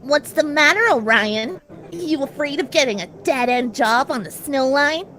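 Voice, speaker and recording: A woman's voice: high-pitched, animated, normal speed, a bit bright. A woman voicing a brash, confident young female pegasus pony who teases, in a fan fiction audio story. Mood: cocky. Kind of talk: dramatized fan fiction reading.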